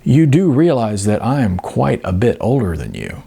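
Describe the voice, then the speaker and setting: A man voicing an awkward, gentle middle-aged man in an audiobook, halting and hesitant as he speaks. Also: mid-range voice, wildly swooping pitch, gravelly, breathy edge, exaggerated voice. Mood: awkward protest.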